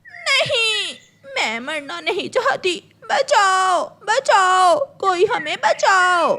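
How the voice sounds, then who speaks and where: A woman's voice: very high voice, very animated, extremely slow, pushed and bright, exaggerated voice. A woman voicing an anxious, pleading female crow with a dramatic manner in a children's cartoon. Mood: panicked. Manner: tearful, dramatic. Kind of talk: Hindi children's cartoon dialogue.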